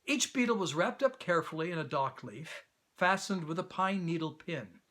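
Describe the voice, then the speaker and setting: Male storybook narrator: bright, high voice with very lively intonation, reading for children. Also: moderate pace.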